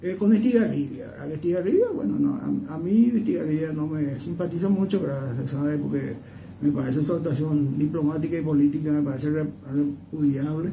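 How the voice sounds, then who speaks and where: A man's voice: fairly high pitch, a little fast, vocal fry, warm tone. A man with a Paraguayan accent giving conversational historical commentary as a guest, digressing and often checking for agreement. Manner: digressive, often seeks agreement with tag questions. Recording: noisy, distant microphone.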